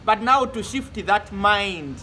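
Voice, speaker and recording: A man's voice: high-pitched, expressive, slow, sharp tone, a little hiss or hum. A Ugandan man co-hosting a casual couple chat, bantering easily and laughing often. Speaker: vlog co-host.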